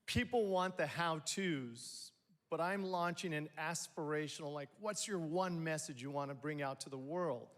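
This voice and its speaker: A man's voice: a little high, fairly bright tone. A man in the audience with a big coaching voice, asking a speaker a question.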